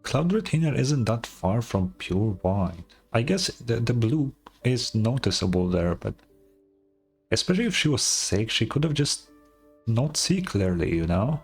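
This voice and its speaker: A streamer giving casual game commentary, rambling and thinking out loud. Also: medium pitch, very animated, measured pace, husky. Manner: rambling, musing aloud. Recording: game music behind the commentary.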